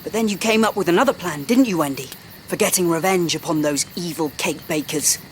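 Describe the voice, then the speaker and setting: An actor playing a confident, boastful boy leader, telling tales briskly in an audio drama. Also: medium pitch, slight background noise, close to an everyday voice. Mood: gleeful reminiscing.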